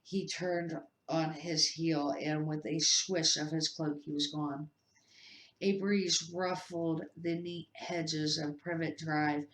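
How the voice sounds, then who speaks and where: A woman's voice: deep voice, fairly bright tone, slight background noise. Older woman reading aloud, echoey room. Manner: halting, unpolished reading. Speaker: storybook reader. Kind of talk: read-aloud narration for children. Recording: echoey amateur room recording.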